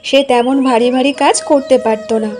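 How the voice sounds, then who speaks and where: A woman's voice: sits high, fairly even intonation, fairly quick, over music. A woman narrating a cartoon folk story as a scripted storybook tale.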